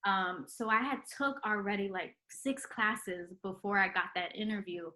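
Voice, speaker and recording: A young woman with a bright, forceful voice talks through her story in a relaxed interview. She fills her speech with ums and likes and laughs easily as she goes. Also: medium pitch, normal speed. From Puerto Rican heritage. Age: young adult. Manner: chatty, many ums and likes, laughs often. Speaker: interview guest, retired nurse. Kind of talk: casual interview chat.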